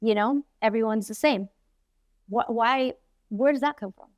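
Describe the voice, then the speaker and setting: A woman's voice: a little high, unhurried, dry recording, clean studio sound. A woman hosting an interview who chimes in with brief remarks and agreement. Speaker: host. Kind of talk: casual interview.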